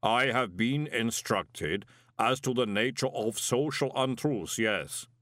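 A man voicing a huge, impassive clay golem in an audiobook, with slow, formal, measured speech and a rumbling voice. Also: a bit bright, no echo, studio quality. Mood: formal, calm. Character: impassive male clay golem, huge, literal-minded, sternly moral. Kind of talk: audiobook character voice.

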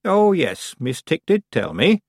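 A man voicing a very old, calm and stern witch woman with a dry, matter-of-fact manner. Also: on the high side, a little slow, creaky, husky, no room sound, pitched above the performer's own voice, heavily acted.

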